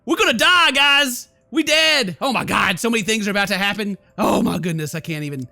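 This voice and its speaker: A theatrical, excitable man streaming a horror game, switching between dramatic read-aloud and jokey casual commentary. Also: high-pitched, a little fast, creaky, no echo. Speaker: gaming streamer. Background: game music.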